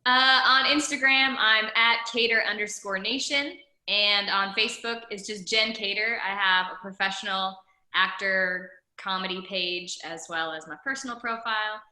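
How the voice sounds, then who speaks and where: A woman's voice: medium pitch, steady tone, normal speed, sharp tone. A woman who acts and teaches tap, talking in an upbeat, bubbly way on a video call. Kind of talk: casual video-call chat. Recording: echoey room.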